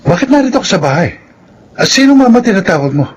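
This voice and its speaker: High-voiced, defiant ex-convict man. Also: sing-song, quick pace, dull audio, slight background noise. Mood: accusing. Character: bitter ex-convict elder brother, confrontational, defiant. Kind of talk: Filipino radio drama acting.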